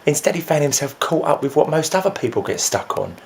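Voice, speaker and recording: A man performing a dramatic stage monologue as a grieving, frustrated friend of a lost musician, speaking earnestly with halting repetition. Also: a little high, sing-song, vocal fry. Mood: earnest, pained. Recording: faint noise behind the voice.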